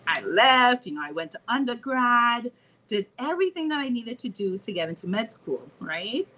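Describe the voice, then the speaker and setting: A woman's voice: fairly high pitch, normal speed. Laughing woman guest from Trinidad and Tobago. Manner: laughs often, animated storytelling. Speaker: podcast guest, physical therapist. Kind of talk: casual interview chat.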